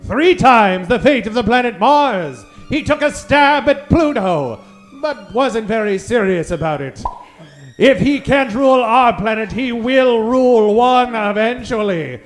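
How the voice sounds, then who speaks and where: Male retro radio serial announcer, unhurried and dramatic, high voice over music. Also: lively intonation. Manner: theatrical, dramatic pauses. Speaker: radio show announcer and narrator. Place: live stage performance.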